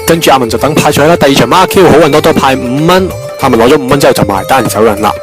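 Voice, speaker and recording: A man's voice: mid-range voice, racing, music in the background, lightly acted. A man playing a wisecracking young joke-teller who rambles along in an amateur radio drama, with a Hong Kong Cantonese accent. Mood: jokey storytelling. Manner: rambling, jokey storytelling. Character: wisecracking young man, long-winded teller of absurd jokes.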